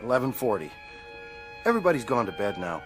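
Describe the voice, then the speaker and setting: Radio drama role, male actor: practical, coaxing neighbor, expressive medium-pitched voice, clear music bed. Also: quick pace, close to an everyday voice. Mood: gentle, coaxing.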